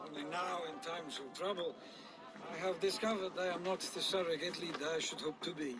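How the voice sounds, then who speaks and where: An actor playing a courteous, troubled Viking town deputy in a video game, speaking earnestly and ruefully. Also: male voice, a little high, steady tone, a little fast, over music, lightly acted. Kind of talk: dramatic video game acting.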